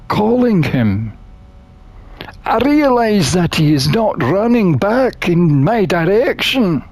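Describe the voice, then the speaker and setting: Slow, very animated storyteller reading aloud. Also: mid-range voice, dry recording. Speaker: gamer reading a visual novel aloud. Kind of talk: expressive scripted narration of a visual novel.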